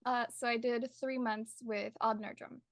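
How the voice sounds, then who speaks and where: A woman's voice: a little high, little pitch movement, moderate pace, no room sound, clean studio sound. Young woman with an American accent. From Colombia. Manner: friendly, laughs easily. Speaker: artist guest. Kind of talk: casual interview chat. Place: her art studio.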